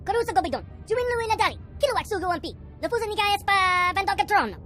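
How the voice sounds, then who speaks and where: An actor voicing a small excitable alien creature in a video game, squeaky and babbling in a made-up tongue. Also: exaggerated voice. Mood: agitated chatter. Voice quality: squeaky, cartoonish. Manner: rapid chattering babble. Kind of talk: video game character acting. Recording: music behind the voice.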